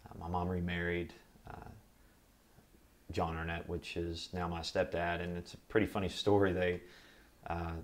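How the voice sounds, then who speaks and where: An American man giving a recorded oral history interview, recalling his life in a measured, reflective way. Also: low voice, completely flat delivery. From Kentucky, United States. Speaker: interviewee, military veteran.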